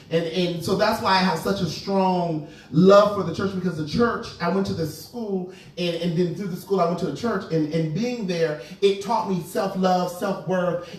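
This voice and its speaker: A man in his late thirties with a high voice, earnestly telling his own story in a casual group discussion. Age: late 30s. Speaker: panelist. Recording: echoey room, distant mic.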